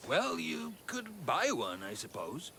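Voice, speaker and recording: A man's voice: medium pitch, wildly swooping pitch, slow, bright, forceful tone, a little hiss or hum, exaggerated voice. An actor playing a sheepish, evasive male athlete in a video game. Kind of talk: video game cutscene acting.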